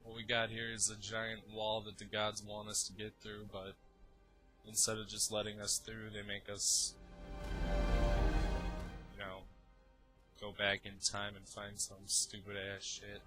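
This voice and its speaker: A man's voice: on the low side, completely flat delivery, a little slow, pauses often, loud music behind the voice. A man giving casual, offhand and sometimes profane commentary as he plays a video game. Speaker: let's play host.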